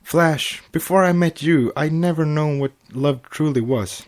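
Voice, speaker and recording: A man's voice: on the high side, unhurried, darkish tone, voice pushed up, natural voice. Man doing an earnest young princess pony, raised voice. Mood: tender.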